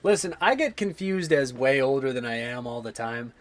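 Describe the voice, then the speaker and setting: A man in his twenties with a slightly raspy voice, rambling casually and swearing as he streams his 3D modeling work and answers chat. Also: mid-range voice, animated, moderate pace. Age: 20s.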